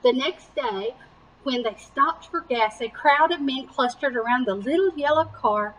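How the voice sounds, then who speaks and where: Woman, high-pitched, very leisurely pace, expressive storybook read-aloud, emphatic words, simple asides for children. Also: a little slow, slight background noise, poor audio. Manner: animated emphasis with simple explanatory asides for young listeners.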